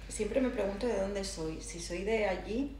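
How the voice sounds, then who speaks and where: A woman's voice: mid-range voice, measured pace, a little mellow, roomy sound, slight background noise. A middle-aged woman from Galicia in Spain, talking reflectively about herself in a thoughtful, hesitant interview conversation, searching for her words.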